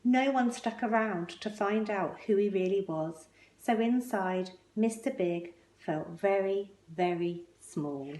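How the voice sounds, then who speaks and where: A woman reading a children's picture book aloud expressively, with measured, emphatic phrasing and pointed pauses. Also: medium pitch, darkish tone. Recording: roomy, echoing indoor sound.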